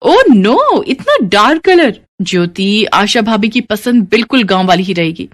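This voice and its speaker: A woman's voice: mid-range voice, very animated, a little slow. Woman voicing haughty young city-bred sister-in-law. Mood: smug, persuasive. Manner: dismissive, mixes English words into speech. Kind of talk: animated moral story character dialogue.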